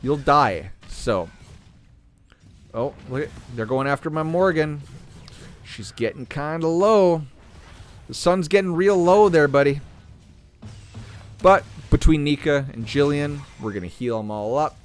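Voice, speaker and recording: A man hosting a gaming channel, talking casually through a game while playing, clearing his throat as he is losing his voice. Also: fairly high pitch, unhurried, long pauses, soft background music. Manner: relaxed, thinking aloud while playing. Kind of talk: casual gameplay commentary.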